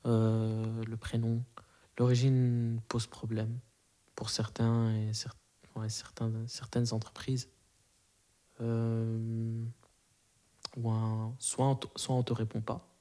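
A man's voice: medium pitch, monotone, slow pace, pauses often, warm tone. A man in his early twenties from Belgium, a native Arabic speaker, answering interview questions casually and reflectively, often searching for words. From moved to Belgium at five, grew up there. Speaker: interview guest.

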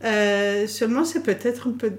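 A woman's voice: on the high side, normal speed. A woman in a casual interview, talking in a hesitant, thoughtful way in a reverberant room.

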